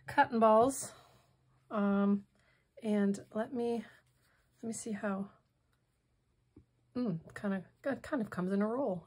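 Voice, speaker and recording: A hoarse woman demonstrating a craft project in casual tutorial style, softly thinking aloud and drifting into whispered asides. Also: medium pitch, a little hiss or hum. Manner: soft-spoken, musing, drifts into whispered asides.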